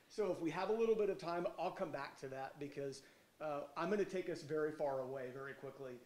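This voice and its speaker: A man with a cold lectures in a loud, carrying voice, pitched a little high. He talks informally and swings from booming emphasis to quiet asides. The sound rings with the echo of a lecture hall. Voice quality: loud, projecting voice. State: has a cold. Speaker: guest lecturer, medical researcher.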